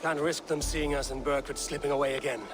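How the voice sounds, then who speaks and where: An actor playing a resolute young Viking raid leader in a video game, speaking in a terse, decisive way. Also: male voice, a little high, flat delivery, brisk, music in the background, lightly acted. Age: young adult. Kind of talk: video game dramatic dialogue. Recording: clear background noise.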